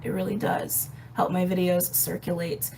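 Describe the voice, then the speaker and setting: A woman with a raspy, hoarse voice that sits fairly low explains astrology in a relaxed, chatty way. She talks to her viewers directly and fills her pauses with ums. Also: a little hiss or hum. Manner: chatty, with frequent ums and light laughs. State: hoarse, recovering from a respiratory illness. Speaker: astrology and tarot channel host. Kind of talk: casual explanatory astrology talk.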